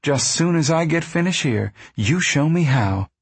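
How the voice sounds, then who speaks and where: A man's voice: mid-range voice, animated, unhurried, breathy edge, dry recording. A man voicing a plain-spoken, protective farm mother in a storybook. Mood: eager. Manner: folksy, firm.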